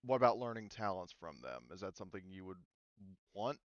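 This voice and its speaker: Male game master, relaxed and readily amused, smooth clear tone, dry slightly muffled chat sound. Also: low-pitched, brisk, no room sound. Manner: easygoing, laughs readily. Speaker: game master of a tabletop role-playing campaign. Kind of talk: casual group game discussion.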